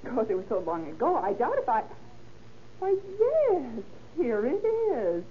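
A woman's voice: a little high, huge pitch swings, measured pace. An actress playing a fussy, talkative hat shop saleswoman with a rambling, fluttery manner in 1930s radio style. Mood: searching, flustered. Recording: muffled, hissy old radio recording.

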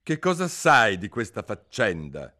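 Man doing a pompous old king, storybook, blustering, loud, declamatory, lively swoops. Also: lowish voice, measured pace, full character voice.

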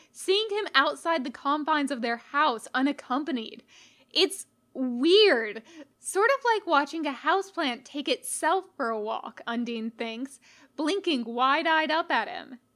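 A woman giving an expressive narration of a scripted story, as an audiobook-style reader. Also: high-pitched, slow. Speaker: podfic reader.

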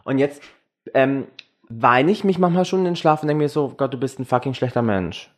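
Junger Mann, locker und offenherzig plaudernd. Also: male voice, mid-range voice, brisk. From Germany. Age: young adult. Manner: mixes English slang into German. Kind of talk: casual, candid group chat.

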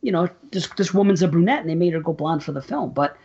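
A man's voice: fairly high pitch, animated, quick pace, a little mellow, breathy edge, muffled recording. A man hosting a podcast, talking hesitantly with many stammered restarts. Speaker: podcast host. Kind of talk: casual interview chat.

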